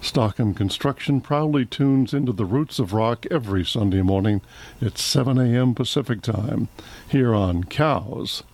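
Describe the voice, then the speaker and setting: An older man reading a sponsor announcement and chatting on community radio, steady when reading and halting when speaking off the cuff. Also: mid-range voice, creaky. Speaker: radio station regular and announcer. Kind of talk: underwriting announcement and on-air chat. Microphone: studio microphone.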